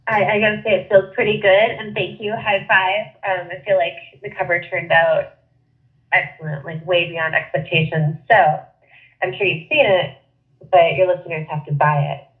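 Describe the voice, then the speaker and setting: A woman's voice: lowish voice, steady tone, normal speed. Bubbly woman with a stuffy cold. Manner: bubbly, laughing easily. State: stuffy head cold, nasal. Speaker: podcast guest, wrestler. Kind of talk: casual chat. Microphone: phone call. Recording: tinny phone line.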